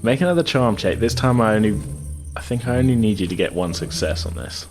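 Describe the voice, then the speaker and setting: A man improvising narration as game master of a tabletop roleplaying game, halting and circling back over his phrases. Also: fairly low pitch, expressive, over music.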